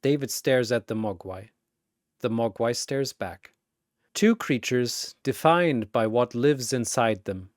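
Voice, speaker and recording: A man narrating a dramatic battle story in a theatrical, measured way, with pauses between short phrases. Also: mid-range voice, no room sound, clean studio sound.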